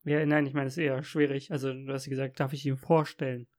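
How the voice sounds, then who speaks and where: A man's voice: medium pitch, little pitch movement, quick pace, darkish tone. A man chatting casually as a podcast co-host who has a scratchy throat, easygoing and agreeable. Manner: easygoing, agreeable, brief replies. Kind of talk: casual chat.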